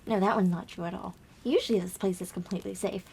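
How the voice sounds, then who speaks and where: A woman playing a gentle young girl on a camping trip in an ASMR roleplay, speaking softly and breathily with hushed, dramatic pauses. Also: medium pitch, fairly even intonation, slight background noise, close to an everyday voice. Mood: soft, playful, uneasy. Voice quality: soft, breathy whisper. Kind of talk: ASMR roleplay, soft whispered storytelling.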